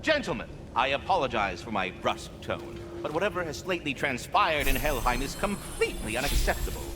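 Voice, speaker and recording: An actor voicing an officious, indignant talking squirrel in a video game, pompous, verbose and insistently formal. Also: male voice, mid-range voice, sing-song, fairly quick, background noise, lightly acted. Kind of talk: cinematic video game acting. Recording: music behind the voice.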